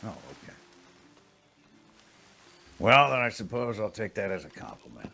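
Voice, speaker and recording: A man with a gravelly voice roleplaying a drifter in a western game, using folksy frontier phrasing and teasing remarks. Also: fairly low pitch, animated, moderate pace, bright, forceful tone. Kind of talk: in-character western roleplay chat.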